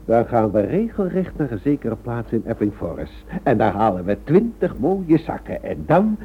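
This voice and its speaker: A man's voice: mid-range voice, very animated, normal speed, slightly rough. A man playing a greedy, impatient gangster in a radio play, brusque and dismissive as he orders henchmen about. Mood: confident. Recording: dull lo-fi old radio drama recording.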